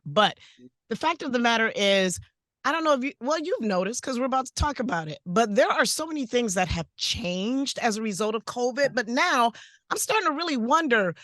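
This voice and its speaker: Raspy female co-host, animated and exclaiming, a touch high, dry close sound. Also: no room sound. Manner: animated, exclaiming, self-interrupting. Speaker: podcast co-host. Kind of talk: casual podcast chat.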